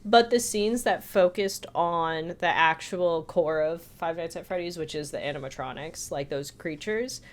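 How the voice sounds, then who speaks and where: A woman's voice: lowish voice, unhurried, hardly any pauses. A woman co-hosting a podcast, talking through a film review in a casual, chatty, hedging way. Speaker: podcast co-host.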